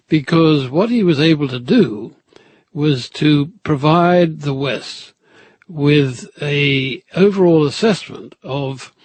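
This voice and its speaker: A man with a husky voice giving thoughtful, deliberate historical commentary in an emphatic, explanatory way. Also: on the high side.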